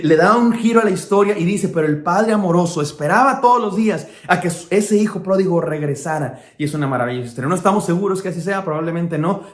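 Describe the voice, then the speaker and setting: High-voiced Mexican pastor preaching. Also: male voice. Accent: Mexican Spanish. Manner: emphatic preaching, acts out quoted speakers. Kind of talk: sermon. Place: church hall. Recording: echoey church hall.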